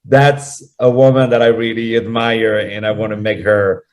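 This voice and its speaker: A man from France with a French accent, talking as a guest on a radio interview, effusive and full of quick restarts. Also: lowish voice, little pitch movement.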